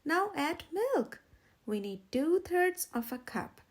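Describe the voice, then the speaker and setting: Woman as a slow, cautious storybook turtle. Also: very high voice, very animated, voice pushed up, heavily acted. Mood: firm, instructive. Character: cautious, bossy turtle reading out a recipe, storybook.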